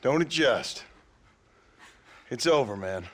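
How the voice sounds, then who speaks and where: Laconic gunslinger of medium pitch. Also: male voice, expressive, slow pace, fairly bright tone, slight background noise. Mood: tense. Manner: laconic, dry. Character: terse, world-weary male reformed outlaw gunslinger. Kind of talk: dramatic video game acting.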